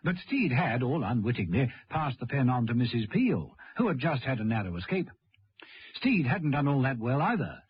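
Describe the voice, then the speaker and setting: A man's voice: mid-range voice, big pitch swings, normal speed, breathy edge. A man playing the wry, dramatic storyteller of a radio serial, delivering scripted narration. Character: male arch storyteller, wryly addressing the hero. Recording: dull radio recording.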